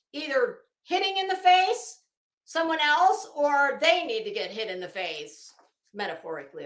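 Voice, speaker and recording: Middle-aged female commentator, higher-pitched, deliberate and halting, casual live style, echoey room sound. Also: fairly bright tone. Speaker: YouTube commentator and host. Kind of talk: casual live commentary with document read-outs.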